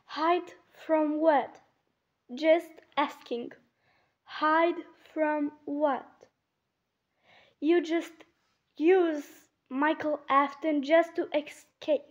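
A woman's voice: high voice, fairly even intonation, extremely slow, long pauses. A young woman giving casual reaction commentary, talking back to a video in a teasing way, on a phone recording. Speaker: reactor to game voice lines. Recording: dull phone screen recording.

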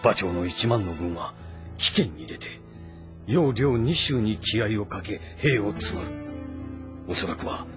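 An actor playing a composed, calculating and loyal strategist in a historical game drama, speaking formally and deliberately. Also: male voice, lowish voice, animated, music in the background. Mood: brooding, resolute. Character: composed, calculating, loyal male strategist advising his lord.

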